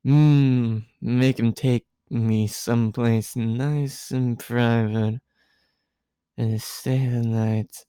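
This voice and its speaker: A man's voice: medium pitch, steady tone, very slow, warm tone, clean tone, no echo, studio quality. A man voicing a tipsy, drowsy woman guardian who rambles and teases with slurred, emphatic speech in a story reading. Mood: drowsy, suggestive.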